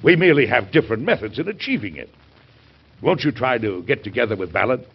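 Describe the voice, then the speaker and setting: A man playing an indignant, burdened middle-aged American president in an old radio drama, speaking formally and emphatically with a gravelly, husky voice. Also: medium pitch, very animated, fast talker, exaggerated voice. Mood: firm, impatient. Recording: muffled vintage radio broadcast.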